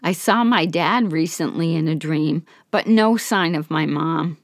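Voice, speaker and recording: An elderly woman, guilt-ridden and devout, confides her sorrow softly, as a female storyteller reads her words. The voice sits a little low, and the recording is dry and close. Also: unhurried, no room sound. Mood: sorrowful.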